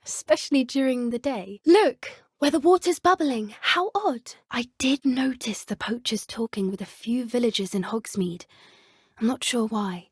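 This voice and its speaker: Earnest, high-voiced British schoolgirl witch. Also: lively intonation, moderate pace, breathy edge, dry recording, studio quality. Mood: curious. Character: earnest, caring teenage witch schoolgirl who loves creatures. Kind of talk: video game character dialogue.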